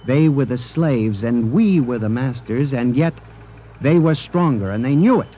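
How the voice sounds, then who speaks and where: An actor playing an obsessed, fearful office clerk, narrating in an earnest, theatrical 1950s radio drama manner. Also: male voice, mid-range voice, animated, a little slow, dark tone, lo-fi sound, a little hiss or hum, lightly acted. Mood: awed, ominous. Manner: intense, earnest, formal, theatrical. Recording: 1950s radio broadcast with music behind.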